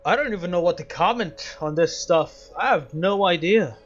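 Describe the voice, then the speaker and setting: A man with a slightly high voice plays a game and comments casually. He speaks in hesitant asides, through a dull lo-fi mic with music behind him. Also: expressive, normal speed. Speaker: gamer playing and reacting. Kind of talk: casual let's play commentary.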